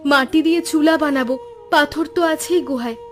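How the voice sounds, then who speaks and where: A woman as a high-voiced, caring village wife. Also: brisk, close to an everyday voice. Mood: confident, reassuring. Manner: reassuring, caring, practical. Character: kind, resourceful village woodcutter's wife, young mother. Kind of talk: Bengali cartoon story voice acting. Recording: music playing under the voice.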